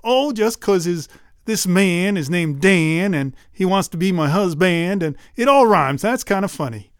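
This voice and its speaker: A storyteller voicing a silly, fretful young country woman who wails over imagined dangers. The voice rises high when the worries pile up. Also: expressive, a little slow, dry recording, studio quality, close to an everyday voice. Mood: giggly.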